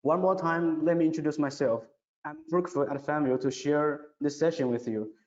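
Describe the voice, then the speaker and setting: A man with a slightly high voice gives a scripted online product presentation. His delivery is careful and a bit halting, and the sound is dull, with a long, roomy reverb. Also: darkish tone. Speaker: webinar presenter. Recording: dull, echoey, reverberant online webinar audio.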